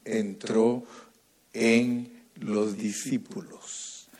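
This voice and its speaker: A man preaches at an evening church service with a deliberate, unhurried delivery in a somewhat low voice. He stresses key words and builds into a fervent, rising prayer. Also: level tone, a little mellow, breathy edge. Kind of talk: sermon and prayer.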